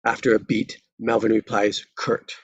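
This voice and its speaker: A man reading screenplay stage directions as dramatic narration, in terse, deliberate phrases. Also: fairly low pitch, completely flat delivery, husky, highs cut off.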